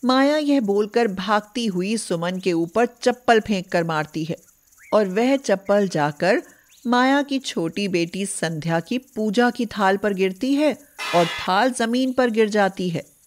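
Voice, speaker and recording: Female narrator for children's moral tales, mid-pitched, unhurried scripted delivery. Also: animated.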